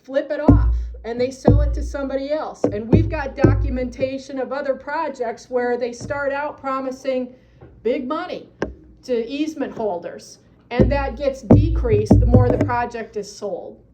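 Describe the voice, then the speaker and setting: Earnest high-voiced woman giving public comment. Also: moderate pace, loud music behind the voice, rough recording. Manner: earnest, persuasive advocacy. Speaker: citizen addressing county supervisors. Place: county meeting room.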